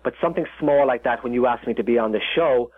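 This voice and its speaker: A man's voice: mid-range voice, quick pace, no echo. A man with an Irish accent, a chef talking as a guest in a casual interview, rambling with many restarts and fillers. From Ireland. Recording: tinny phone line.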